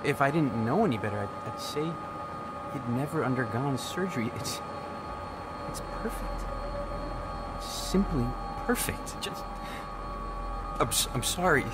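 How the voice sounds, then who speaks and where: An actor playing an earnest, inquisitive hospital staffer with a halting, stammering delivery in a game cutscene. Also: male voice, mid-range voice, lively intonation, heavy background noise, natural voice. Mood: moved, awed. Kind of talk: naturalistic game cutscene acting. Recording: loud music over dialogue.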